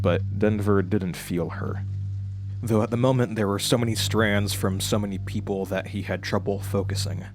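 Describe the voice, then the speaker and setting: Low-voiced man narrating horror over music. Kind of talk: scripted horror audio drama narration. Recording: prominent music behind.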